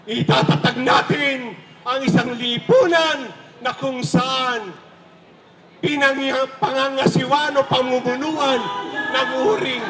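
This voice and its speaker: A Filipino man giving a forceful, declamatory political speech at a protest rally, shouting. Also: very high voice, steady tone, slow, over a noisy crowd. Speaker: rally speaker. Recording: echoey, noisy loudspeaker at outdoor rally.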